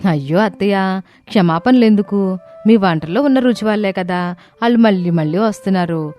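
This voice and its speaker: A woman's voice: mid-range voice, moderate pace, warm tone, soft background music, natural voice. Woman playing a dutiful, firm young daughter-in-law. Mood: encouraging.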